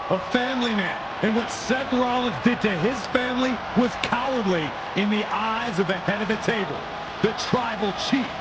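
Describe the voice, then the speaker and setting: A man's voice: high voice, very noisy. A man giving dramatic, emphatic sports commentary on professional wrestling. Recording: loud music behind. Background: loud music.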